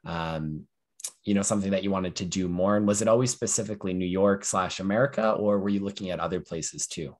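A young man hosting a podcast over a video call, talking in a relaxed way full of "you know" and "um". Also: deep voice. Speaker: podcast host. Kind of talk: casual interview talk. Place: small room at home.

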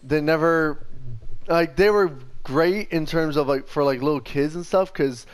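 A man's voice: fairly high pitch, a little slow, smooth. A young man chatting casually while playing a video game, slangy and sweary, peppering his talk with "like". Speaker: gaming show host.

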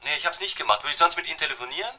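An actor playing an edgy, street-smart burglar in a radio crime drama, talking in a blunt, wary, colloquial way. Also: male voice, fairly high pitch, expressive, very fast, pushed and bright, slight background noise. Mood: agitated, wary. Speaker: petty criminal.

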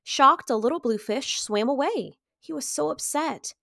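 Woman in her 30s doing kids story time, highish voice, dry close sound, expressive. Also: unhurried, vocal fry, no echo. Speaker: story time host reading aloud to children. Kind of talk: children's picture book read-aloud.

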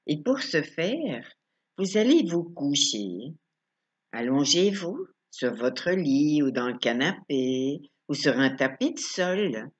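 Slow-paced woman guiding relaxation, lively pitch. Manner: calm, instructional, short phrases with pauses. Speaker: relaxation coach guiding yoga nidra. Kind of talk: guided relaxation meditation.

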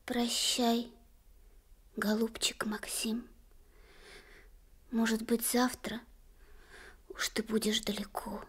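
A woman's voice: fairly high pitch, level tone, slow pace, long pauses, breathy edge. A woman playing an earnest, childlike little boy in a Soviet radio play. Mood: hushed farewell. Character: earnest little boy Vasya, an admiral's son.

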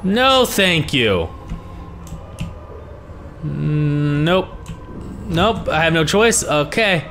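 A young man with a hoarse voice, commentating a horror game he is playing, swearing and reacting to scares. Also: a little high, sing-song, very slow, long pauses. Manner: reactive, swearing, jumpy exclamations. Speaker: gaming YouTuber. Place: small room at home. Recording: game music behind the voice.

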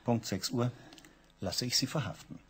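An actor playing a stern syndicate chief who coldly confronts a partner in a radio play. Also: male voice, mid-range voice, normal speed, breathy edge. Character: stern male syndicate chief, cold, unyielding.

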